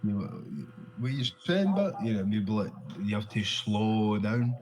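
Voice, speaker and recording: A man's voice: lowish voice, big pitch swings, measured pace, a crowd in the background, low-quality recording. A Glaswegian Scottish man in casual conversation, talking loosely, repeating himself and swearing freely. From Glasgow, Scotland.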